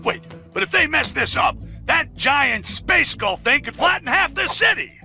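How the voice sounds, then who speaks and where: A man's voice: extremely high pitch, animated, measured pace, vocal fry, bright, forceful tone, faint music behind, heavily acted. An actor playing a blustering, sarcastic, middle-aged newspaperman ranting through a bombastic news update in a video game. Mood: irate, sarcastic.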